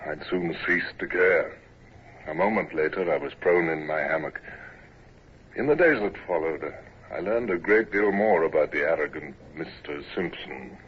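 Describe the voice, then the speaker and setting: Brief: a wry naval officer, deep, 1940s radio acting, phone-thin sound. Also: male voice, moderate pace. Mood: narrating. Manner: stammering when acting young, wry when narrating. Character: naval captain recalling himself as a shy, nervous midshipman. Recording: tinny old radio broadcast with hiss.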